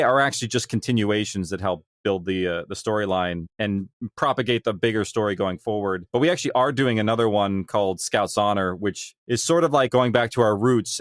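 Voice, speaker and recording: A man's voice: on the low side, no echo, studio quality. A man being interviewed as a guest, talking conversationally about his creative work. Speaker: guest, audio drama creator.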